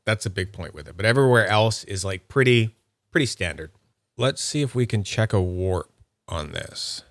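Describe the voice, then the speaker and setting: Chatty upbeat man, lowish dry voice. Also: expressive, normal speed, fairly bright tone, no room sound. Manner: chatty, thinks aloud while handling items; nerdy and upbeat. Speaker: YouTube fidget toy reviewer. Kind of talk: casual unboxing commentary.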